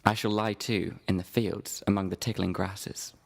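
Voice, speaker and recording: Male actor as scornful scholar in a literary audio play, precise and lofty, pitched fairly low. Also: level tone, normal speed, gravelly. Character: scholarly, scornful man devoted to Latin and order. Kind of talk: literary radio drama acting.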